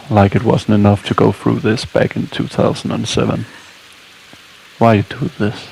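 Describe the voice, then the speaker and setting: A man's voice: fairly low pitch, little pitch movement, measured pace, vocal fry, darkish tone, slight background noise, poor audio. A man narrating a casual video game walkthrough as a scripted voice-over, with dry, weary asides. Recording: music behind the voice. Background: game music.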